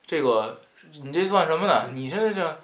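A man's voice: fairly high pitch, expressive, fairly quick, fairly bright tone. A man with a northern Chinese Mandarin accent, telling a story as a podcast co-host in a colloquial, rambling, joking way. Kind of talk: casual comic retelling of a novel's story. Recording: roomy, echoing sound.

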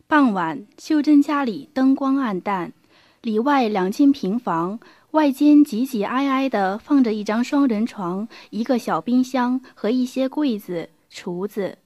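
A woman's voice: fairly high pitch, lively intonation, a little slow, a little mellow, no echo. A woman reading scripted narration for a radio drama as its storyteller.

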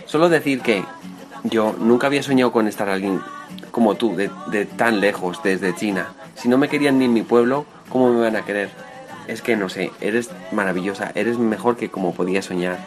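A man in his thirties from northern Spain, telling his story in an interview in a heartfelt, effusive way. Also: lowish voice. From Navarre, Spain. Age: 30s. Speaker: interviewee, software developer and amateur musician. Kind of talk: personal interview.

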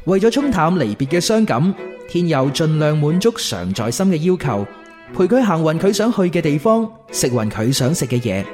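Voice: medium pitch, big pitch swings, normal speed, music in the background. A narrator with a Cantonese accent reading scripted storytelling for a radio drama.

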